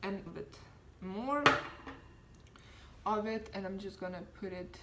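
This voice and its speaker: A woman's voice: mid-range voice, a little slow, heavy background noise. A woman in her twenties from Serbia, talking casually through a makeup tutorial in a chatty, self-deprecating way with lots of fillers. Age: 20s. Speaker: beauty vlogger.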